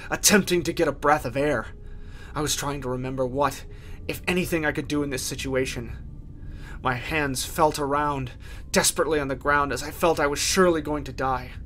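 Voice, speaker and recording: A man narrating a first-person horror story in a theatrical way, with deliberate pauses. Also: on the high side, fairly bright tone, a little hiss or hum. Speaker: writer and narrator. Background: music.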